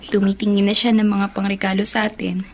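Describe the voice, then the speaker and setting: A woman's voice: medium pitch, little pitch movement, fairly quick, darkish tone, natural voice. A woman playing a wistful, loyal young Filipino granddaughter in a radio drama, with an earnest, plaintive delivery. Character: wistful, loyal young granddaughter missing her absent mother.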